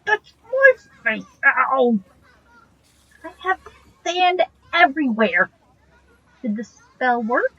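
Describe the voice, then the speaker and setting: A woman's voice: high voice, very animated, takes it slowly, long pauses, pushed and bright, muffled recording, heavily acted. A woman playing an earnest, tender magic-user in an audio drama, speaking in a hesitant way. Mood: shaken.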